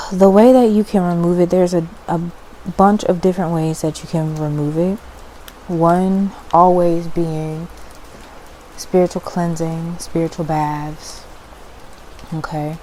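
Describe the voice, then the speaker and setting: ASMR host, female: lowish register, dark and relaxed tone, soothing informal delivery, slight ambient noise behind. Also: poor audio. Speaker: ASMR host and spiritual practitioner. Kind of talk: ASMR, soft-spoken informal explaining. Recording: faint background noise and ambience.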